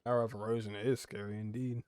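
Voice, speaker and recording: A man's voice: lowish voice, flat delivery, fast talker, darkish tone, clear, resonant tone, no room sound, clean studio sound. A man giving casual gameplay commentary as the host of a gaming video.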